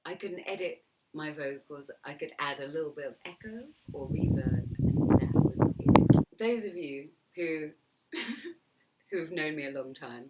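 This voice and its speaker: A woman's voice: low voice, lively intonation, measured pace, recorded from a distance, noisy background. A middle-aged woman vlogging in a chatty, reflective monologue, laughing often and dropping into whispered asides. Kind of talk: reflective casual monologue to camera.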